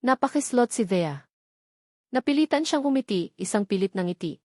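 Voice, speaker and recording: A woman's voice: a little high, lively intonation, moderate pace, a little mellow, no room sound. Filipino woman narrating a romance, dry close sound. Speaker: story narrator. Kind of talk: scripted narration of a romance story.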